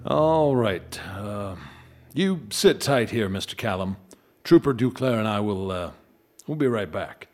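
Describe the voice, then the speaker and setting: A pragmatic police detective , voiced by a man, questions bluntly and doubts everything. His voice rises and falls lively in a middle register. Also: on the low side, a little slow, slight background noise. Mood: probing. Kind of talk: radio drama acting.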